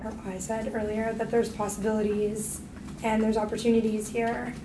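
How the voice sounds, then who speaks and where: A woman's voice: on the high side, little pitch movement, moderate pace. A woman from Canada giving hesitant personal remarks as a participant in a group discussion. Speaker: meeting participant. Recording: distant mic, echoey noisy room.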